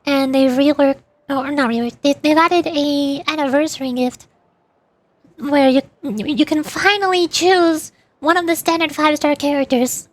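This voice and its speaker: A woman's voice: high voice, steady tone, moderate pace. A woman livestreamer chatting casually over a game in a rambling, playful way. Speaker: livestreamer, VTuber. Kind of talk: casual chat while gaming, answering live chat.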